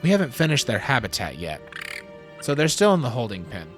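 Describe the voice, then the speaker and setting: A man's voice: medium pitch, wildly swooping pitch, brisk. A man playing a proud, self-assured geneticist explaining his lab to visitors in a fan audio drama. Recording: music under the voice.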